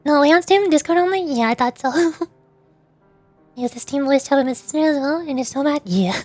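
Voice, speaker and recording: A woman's voice: sits high, expressive, moderate pace, darkish tone, no echo, faint music behind. A young woman streaming a game, chatting casually and rambling with laughs and restarts.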